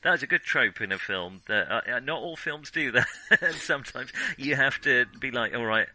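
A man's voice: medium pitch, lively intonation, moderate pace, bright, forceful tone, low-quality recording. A man co-hosting a film podcast, chatting casually and conversationally, often acting out imagined reactions as he explains. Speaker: film discussion podcast co-host.

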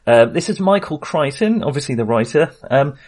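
A man's voice: mid-range voice, animated, moderate pace, darkish tone. Male podcast host, middle-aged, for informal movie discussion with a joking, self-mocking manner. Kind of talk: casual film discussion.

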